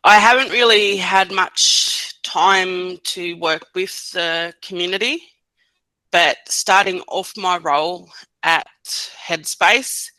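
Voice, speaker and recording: A woman's voice: fairly low pitch, takes it slowly, bright, forceful tone, dry recording, rough recording. A woman in her thirties, a podcast guest giving short, hesitant answers with many fillers. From Australia. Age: 30s. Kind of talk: casual podcast interview.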